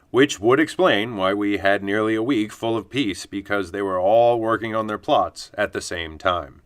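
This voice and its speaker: Man playing a smooth, low-voiced news anchor. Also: fairly bright tone, dry recording, studio quality, natural voice. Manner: formal, announcer-like delivery. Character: polished local TV news anchor. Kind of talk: parody newscast in radio play.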